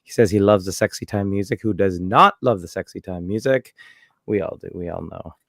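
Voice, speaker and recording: A man's voice: deep voice, brisk, creaky, a bit bright, no echo. Man hosting a joking podcast, dry mic. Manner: joking, upbeat banter. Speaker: podcast host. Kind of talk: casual chat.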